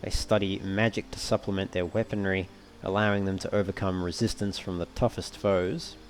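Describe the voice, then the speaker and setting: A man giving casual let's play commentary, thinking aloud in a measured, understated way and often reading game text out. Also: sits low, little pitch movement, soft background music. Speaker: gamer narrating a playthrough.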